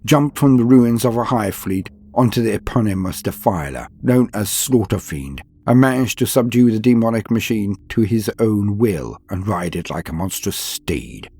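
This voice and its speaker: Low, husky male lore narrator. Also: lively intonation, normal speed, few pauses, dark tone. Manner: solemn, dramatic delivery. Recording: music behind the voice. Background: background music.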